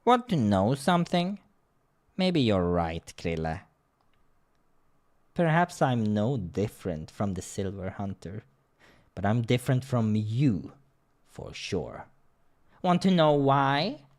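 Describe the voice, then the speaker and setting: Man voicing a proud, bitter lion sorcerer, slow and theatrical. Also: on the low side, sing-song, darkish tone. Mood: cold hatred. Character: proud, despairing male lion sorcerer, cold and scornful.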